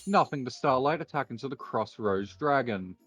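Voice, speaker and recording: A man improvising an anime card-duel roleplay, calling out his moves in a deliberate, mock-formal way. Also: medium pitch, animated, fairly bright tone, no echo, soft background music.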